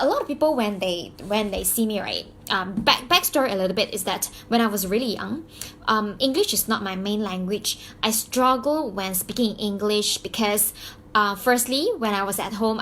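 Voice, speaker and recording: A woman's voice: mid-range voice, fairly bright tone, a little hiss or hum. A woman from Malaysia with a Malaysian accent, whose first language is Mandarin, telling her own story to camera in a chatty way with many fillers and restarts. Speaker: vlogger and coach.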